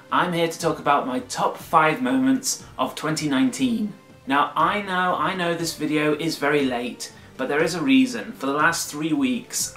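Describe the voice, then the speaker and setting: A man talks straight to his viewers in an earnest, emphatic vlog, his voice slightly croaky. The room around him is echoey, and faint music plays underneath. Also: mid-range voice, fairly bright tone. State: slightly croaky after flu. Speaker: YouTuber. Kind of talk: casual vlog monologue.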